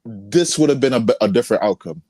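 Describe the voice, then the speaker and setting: A man's voice: medium pitch, lively intonation, fast talker, warm tone, no room sound, clean studio sound, soft background music. A man hosting a podcast, steering a casual political chat and interjecting with restarts. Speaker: podcast host.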